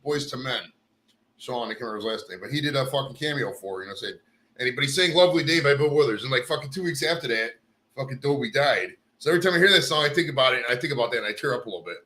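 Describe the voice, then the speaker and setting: A man's voice: medium pitch, lively intonation, very fast, bright, forceful tone, a little hiss or hum. A man hosting a casual podcast, talking loosely and swearing often, with lots of bro and dude. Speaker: podcast host. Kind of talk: casual chat.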